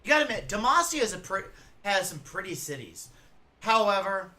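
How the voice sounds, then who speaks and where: A reaction video host giving casual, flippant, animated commentary in an informal way. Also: mid-range voice, brisk. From the frozen north.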